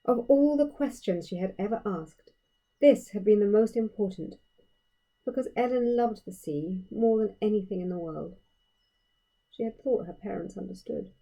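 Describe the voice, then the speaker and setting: Woman reading a children's story, gently husky. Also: medium pitch, rough recording. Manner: measured, storytelling delivery with emphatic phrasing. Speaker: author reading own story. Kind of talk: expressive children's audiobook narration.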